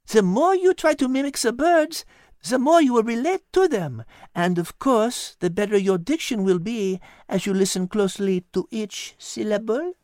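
A woman's voice: fairly high pitch, animated, moderate pace, no room sound, studio quality, pitched above the performer's own voice, natural voice. A woman voicing a wise, polite female cat who speaks with a French accent, reading a storybook. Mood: warm. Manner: French-accented, gently teaching.

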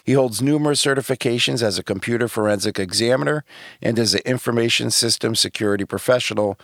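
A man's voice: fairly low pitch, a bit bright, no echo, clean studio sound. A man, co-hosting a podcast in a conversational style. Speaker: podcast co-host.

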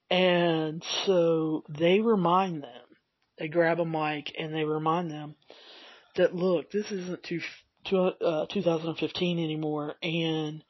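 A man talks slowly and unhurriedly, his voice a touch husky and raspy. He rambles through a solo podcast recap with plenty of fillers. The sound is dry and a little dull, like a modest home setup. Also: a little high, no room sound. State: slightly raspy voice. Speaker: podcast host.

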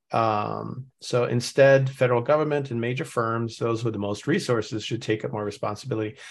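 A man's voice: mid-range voice, creaky. Male podcast host, mid-range voice, casual and musing, reads notes aloud. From Norway. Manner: thinks aloud, reading and musing with many restarts. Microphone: remote call setup.

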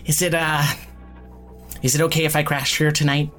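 An actor playing a flippant, guarded young magic-user in an audio drama, casual and profane, with a halting, sardonic delivery. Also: male voice, fairly high pitch, flat delivery, fairly bright tone, soft background music, lightly acted. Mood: awkward, apologetic. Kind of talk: naturalistic audio drama acting.

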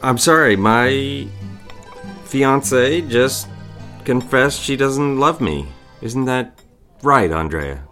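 An actor playing a devoted, proud young fiancé who is wounded, in a romantic period audio drama, with polite, emotive, halting delivery. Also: male voice, mid-range voice, lively intonation, sharp tone, faint music behind. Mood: bitter, wounded.

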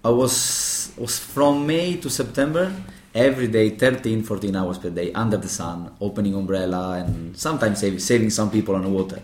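A young man from Italy with an Italian accent, a bartender telling his story in an interview, speaking halting English with many restarts. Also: fairly low pitch, darkish tone, roomy sound.

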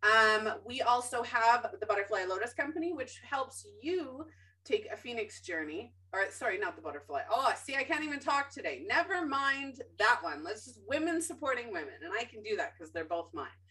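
A woman's voice: fairly high pitch, very animated, normal speed, sharp tone, distant mic, poor audio. A woman hosting a podcast in a casual, chatty way, opinionated and emphatic, with lots of ums and self-corrections. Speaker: podcast host.

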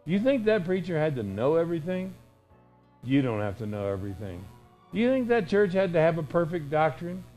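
A man's voice: a little high, big pitch swings, moderate pace, a little mellow, soft background music, low-quality recording. A man in his sixties preaching an informal, conversational sermon in a folksy way, with emphatic repetition and imagined dialogue. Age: 60s.

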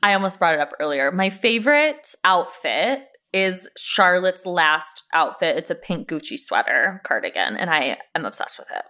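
Woman podcast cohost, bright voice, really animated, gushes and swears, super casual. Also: lowish voice, normal speed, hardly any pauses. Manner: enthusiastic, gushing, sweary. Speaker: podcast co-host. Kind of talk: casual TV recap chat.

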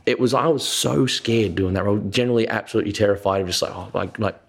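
A man talking as a guest performer, telling personal stories in a casual, candid and animated way. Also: on the low side, quick pace, vocal fry, husky. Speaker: guest actor and comedian. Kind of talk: casual storytelling.

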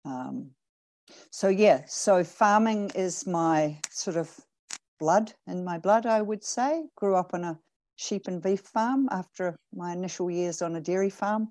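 A middle-aged New woman with a low voice and a mellow tone tells her story in a reflective way. The recording is dry and close. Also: measured pace, no room sound. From New Zealand. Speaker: farm manager and farm coach. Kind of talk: podcast interview.

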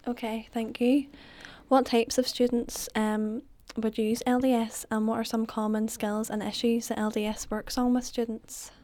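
A woman's voice: on the high side, level tone, normal speed, warm tone. A woman interviewer asking brief, polite questions.

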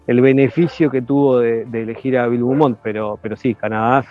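A man giving measured, analytical commentary in a casual chat, with frequent hesitations. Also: medium pitch, fairly even intonation, soft background music. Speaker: rugby podcast guest. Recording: dry, dull lo-fi sound.

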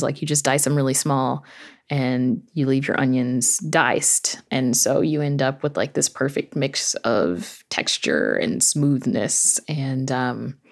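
A woman guest with a notably low voice for a woman. She talks in a casual, conversational way, explaining things informally. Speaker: podcast guest, fiction creator. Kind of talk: casual chat.

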